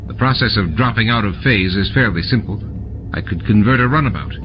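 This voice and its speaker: A man's voice: lowish voice, lively intonation, a little fast, lo-fi sound, background noise, natural voice. A man voicing a practical, harried male engineer in a science fiction audiobook, speaking with tension. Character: harried, hard-working male starship engineer. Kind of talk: audiobook character dialogue.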